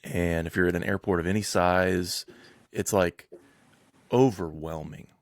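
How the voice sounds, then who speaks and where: A man's voice: low-pitched, steady tone, measured pace, no room sound, clean studio sound. A man hosting a casual podcast interview, rambling with many restarts and filler words. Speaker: podcast host.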